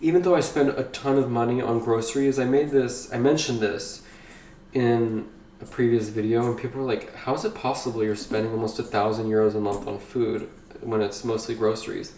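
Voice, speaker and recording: A man's voice: medium pitch, brisk, slight background noise. Chatty man in an echoey kitchen. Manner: chatty, rambling, easily excited. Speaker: vlogger. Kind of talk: casual chat while baking. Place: home kitchen. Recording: echoey kitchen, slightly distant mic.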